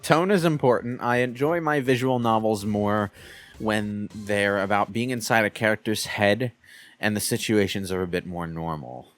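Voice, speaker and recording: A man's voice: on the low side, normal speed. A man chatting casually as a video game commentator.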